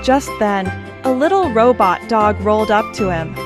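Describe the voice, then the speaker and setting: A woman's voice: mid-range voice, lively intonation, moderate pace. A woman reading a storybook aloud for young children, expressively and in simple phrases, as the host. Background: children's music with jingles.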